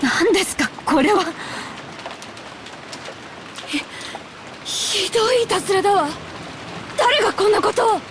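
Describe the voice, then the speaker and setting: A woman's voice: extremely high pitch, lively intonation, brisk, long pauses, noisy background. An actress playing a proper, formal middle-aged lady of a wealthy family in a Japanese visual novel. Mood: appalled. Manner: polite, composed, formal.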